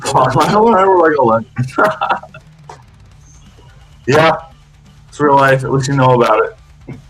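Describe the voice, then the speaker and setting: A man's voice: medium pitch, expressive, measured pace, fairly bright tone, faint music behind, rough recording. A man in his early forties in casual, profane podcast chat, rambling loosely with lots of slang. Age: early 40s. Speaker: podcast co-host.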